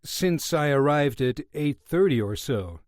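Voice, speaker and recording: A man's voice: a little high, lively intonation, a little slow, a little mellow, no room sound, studio quality. Reader as a brusque man, slightly raised voice. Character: blunt man at the bar, defensive.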